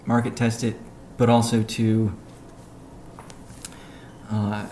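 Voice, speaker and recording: A man giving a measured, businesslike update on a conference call, pausing between phrases as he reads out points and answers questions. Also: fairly low pitch, monotone, dark tone. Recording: noisy conference call audio.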